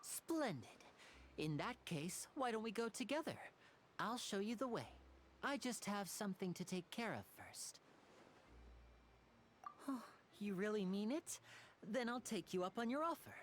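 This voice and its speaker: A woman playing a polite young male stage magician in a video game, courteous and measured like a showman telling a story. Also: mid-range voice, animated, a little hiss or hum, natural voice. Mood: eager.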